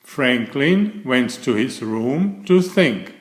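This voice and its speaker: Slow, expressive male storybook reader. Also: medium pitch. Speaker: storybook narrator. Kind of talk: scripted children's bedtime story narration. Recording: echoey room.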